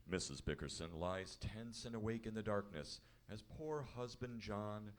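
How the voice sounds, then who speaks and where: A man's voice: on the low side, a little slow. A man hosting an old-time radio comedy show with theatrical, mock-grave scripted narration. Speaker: announcer and host.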